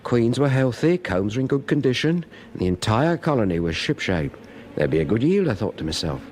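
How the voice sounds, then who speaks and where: An actor plays a put-upon British husband in a radio thriller. His mid-pitched voice moves animatedly through earnest, aggrieved delivery. He sounds middle-aged and exasperated. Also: sing-song, a little fast, darkish tone, a little hiss or hum, close to an everyday voice. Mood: recounting. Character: put-upon beekeeping husband, recounting events with grievance. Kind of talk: radio drama acting and first-person narration.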